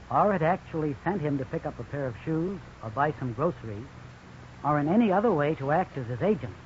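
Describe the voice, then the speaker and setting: A man delivering formal, explanatory scripted legal commentary as a radio counselor. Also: a little high. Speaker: legal counselor, law school dean. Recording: muffled, hissy 1950s radio recording.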